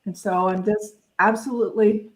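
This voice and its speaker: A woman leads a spiritual service in prayer. Her voice sits at a middle pitch, and she moves without hurry through repeated phrases of blessing. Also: fairly even intonation. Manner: unhurried, repeats phrases in a litany. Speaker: minister leading a church service.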